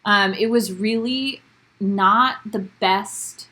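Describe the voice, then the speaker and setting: A woman's voice: mid-range voice, takes it slowly, bright, forceful tone. A young woman co-hosting a casual recap podcast from home, upbeat, laughing often and greeting viewers by name. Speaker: podcast co-host. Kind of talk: casual recap chat, live stream. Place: home bedroom.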